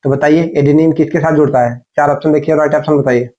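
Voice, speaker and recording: A man's voice: a little high, fairly even intonation, quick pace, dark tone. A man teaching like an exam coach, going through questions and answers in an instructional way and repeating them with rhetorical prompts. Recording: dull lo-fi microphone sound.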